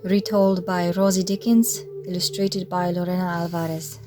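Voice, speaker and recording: A woman narrating a children's story in a measured, expressive read-aloud style. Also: lowish voice. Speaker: storybook reader. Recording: music playing behind the voice. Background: music.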